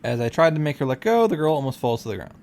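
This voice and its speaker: A man's voice: medium pitch, very animated, rapid-fire, a little mellow. A man reading a visual novel aloud and reacting casually, often restarting and repeating himself as he goes.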